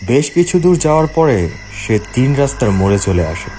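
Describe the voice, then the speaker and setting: A man's voice: mid-range voice, very animated, moderate pace, mellow, slight background noise. A man giving scripted narration for a horror cartoon tale.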